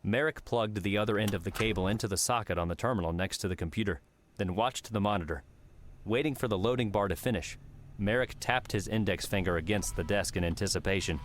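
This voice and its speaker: A man's voice: lowish voice, fast, a little hiss or hum. A man narrating an audiobook, reading scripted fiction.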